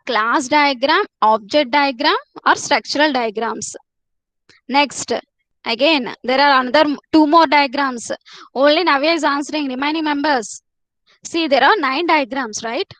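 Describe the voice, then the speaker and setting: A woman teacher leads a live online class, her voice sitting high. She repeats herself often and coaxes students to answer. The sound is dry and close, picked up by a computer mic. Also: measured pace, fairly bright tone, dry recording, rough recording. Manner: repetitive, coaxing students to answer.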